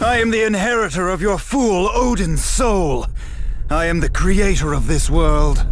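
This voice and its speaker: A man's voice: sits high, very noisy. A man playing a megalomaniac self-proclaimed god in a fantasy game, with pompous, florid, theatrical delivery and lovesick obsession. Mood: raging, exultant. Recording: voice over music.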